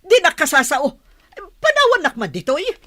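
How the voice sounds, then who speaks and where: A woman's voice: high voice, wildly swooping pitch, moderate pace, heavily acted. A woman playing a suspicious, haughty mother of a grown son, scolding and nagging in an audio drama. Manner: sharp, nagging, exclamatory. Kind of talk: melodramatic audio drama acting.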